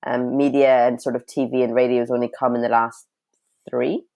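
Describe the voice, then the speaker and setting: A woman's voice: extremely low pitch, flat delivery, brisk, gravelly, warm tone. A woman in her thirties, from Sudan and raised in Northern Ireland and Scotland, with an international accent, chatting freely as an interview guest who laughs often. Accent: international mix of Northern Irish and Scottish. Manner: chatty, laughs often, self-described talker. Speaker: guest, writer and filmmaker. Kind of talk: casual interview talk.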